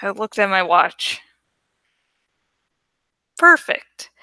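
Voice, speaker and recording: A woman hosting a livestream reading of a novel, speaking in an expressive, deliberate way with casual, dramatic delivery. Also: mid-range voice, no room sound, clean studio sound. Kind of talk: dramatic audiobook-style reading with casual asides.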